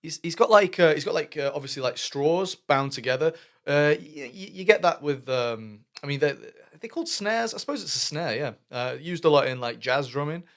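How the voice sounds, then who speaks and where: A man's voice: on the high side, expressive, moderate pace, fairly bright tone. A young British man hosting a reaction video, thinking aloud in casual commentary with lots of fillers and restarts. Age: young adult. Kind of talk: casual commentary over music. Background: music playing faintly.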